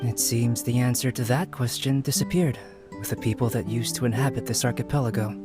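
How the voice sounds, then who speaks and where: An actor playing a composed, erudite young scholar in a video game, measured, articulate and formal. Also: male voice, mid-range voice, steady tone, loud music behind the voice, natural voice. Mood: explaining, thoughtful. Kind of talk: scripted video game voice acting.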